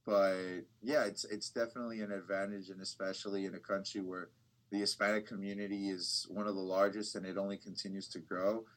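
Low-voiced young man, rambling easily. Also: fairly quick, rough recording. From United States. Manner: relaxed, rambling, many restarts and fillers. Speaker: bilingual TV reporter, guest. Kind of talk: casual interview talk.

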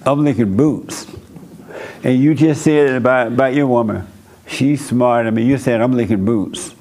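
A man's voice: mid-range voice, darkish tone. A man hosting a church counseling session, talking bluntly and preachily with a dialect, often laughing at his own points. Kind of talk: blunt counseling talk to a congregation.

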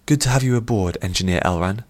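A man's voice: on the low side, fast, natural voice. A man voicing a young princess in an audiobook, poised and playful, with formal, courtly phrasing. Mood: gracious, assured. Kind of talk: audiobook character voice.

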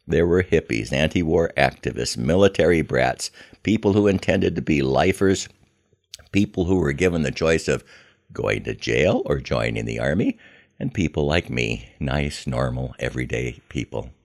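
A man's voice: very deep voice, lively intonation, normal speed, vocal fry. An older man hosting a podcast, telling personal stories in a conversational way with wry, self-deprecating humor. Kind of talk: conversational first-person storytelling.

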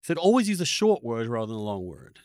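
A man's voice: medium pitch, big pitch swings, fast talker, darkish tone, dry recording, clean studio sound. A man hosting a podcast, talking in an opinionated, unscripted way, emphatic and often restarting his phrases. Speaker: podcast host.

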